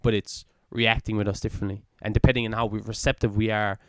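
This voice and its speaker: A man's voice: on the low side, level tone, fast talker, fairly bright tone, smooth, no room sound, studio quality. A man in his twenties co-hosting a podcast, talking in an earnest, rambling way full of fillers. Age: 20s. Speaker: podcast co-host. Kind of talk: casual chat.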